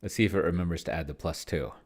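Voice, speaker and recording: A man's voice: low voice, rapid-fire. A man giving casual think-aloud commentary on a game, musing to himself and trailing off. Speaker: solo tabletop game player and demonstrator.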